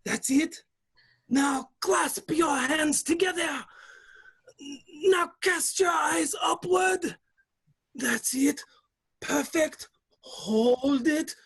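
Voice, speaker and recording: A woman playing a theatrical, commanding film director in a 1940s radio drama, coaxing with drawn-out instructions. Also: high-pitched. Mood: intense, commanding.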